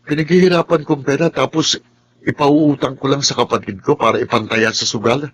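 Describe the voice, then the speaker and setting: Husky, weary Filipino breadwinner son. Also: male voice, medium pitch, steady tone, fairly quick, fairly bright tone. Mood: bitter. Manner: plain-spoken, long-suffering. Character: weary, put-upon hardworking son and breadwinner, resentful. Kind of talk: Filipino radio drama acting.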